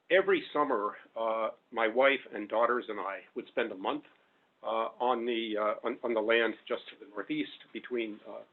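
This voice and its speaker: A middle-aged man giving a panel talk as a professor, loud-voiced and hesitant, full of fillers. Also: mid-range voice. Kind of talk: public talk with slides. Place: meeting room. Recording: phone-like narrow audio.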